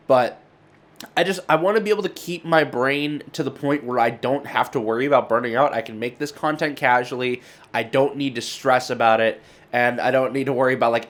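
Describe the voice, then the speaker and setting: A man hosting a channel update, talking informally and earnestly to viewers in a rambling, unscripted way. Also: mid-range voice. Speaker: YouTube channel host.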